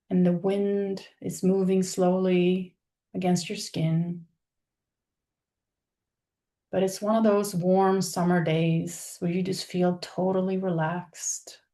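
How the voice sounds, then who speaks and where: A woman leading a guided meditation, speaking in a soothing, unhurried manner with pauses between phrases. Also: fairly low pitch, vocal fry, warm tone. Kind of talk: guided meditation, soft spoken visualization.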